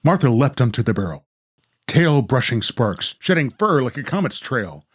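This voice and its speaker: A man's voice: mid-range voice, sing-song, normal speed, breathy edge. A man hosting and narrating a radio play in a theatrical, dramatic storyteller style. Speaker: host and narrator.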